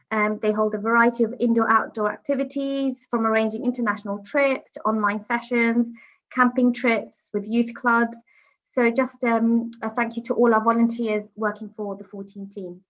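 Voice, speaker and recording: A woman hosting an online lecture, introducing the speaker with frequent ums and pauses. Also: on the high side, fairly bright tone. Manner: hesitant, many ums. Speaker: host. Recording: lo-fi online call audio.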